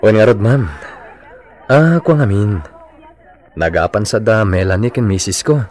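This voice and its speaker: An actor playing a placating husband, conciliatory and easygoing, with an Ilocano accent in a radio drama. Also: male voice, fairly low pitch, animated, measured pace, darkish tone. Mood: polite. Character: placating husband of a quarrelsome store owner.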